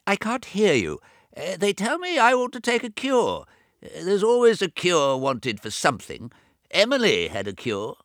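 Man putting on a nagging deaf old man, high and strained, fussy, quick, bright. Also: huge pitch swings, no echo, clean studio sound, exaggerated voice. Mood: fretful. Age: over 70. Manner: fretful, repetitive, nagging.

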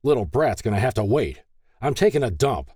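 A man's voice: medium pitch, very animated, a little fast, slightly rough, no room sound, clean studio sound, pitched above the performer's own voice. Man acting out a furious, bullying husband. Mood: angry, menacing. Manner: shouting, growling, contemptuous. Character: furious, abusive husband, cruel and volatile. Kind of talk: audiobook character acting.

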